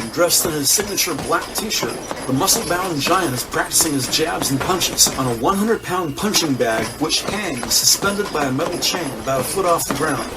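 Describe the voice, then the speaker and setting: High-pitched male narrator over loud noise. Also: recorded from a distance. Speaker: narrator of an audio drama. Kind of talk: scripted present-tense crime story narration.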